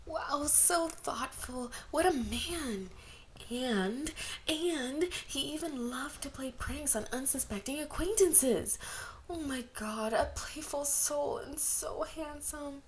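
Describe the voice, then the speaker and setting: A woman's voice: sits high, slow pace, a little hiss or hum, voice pushed up. A woman voicing a gushing, smitten young female admirer in an amateur story reading. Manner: gushing, swooning. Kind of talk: amateur audiobook character voice.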